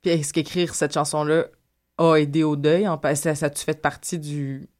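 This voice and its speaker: Female interviewer, Quebec French accent, slightly low voice, friendly and halting delivery. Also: little pitch movement, darkish tone. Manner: hesitant, repeats words, laughs easily. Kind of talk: casual interview questions.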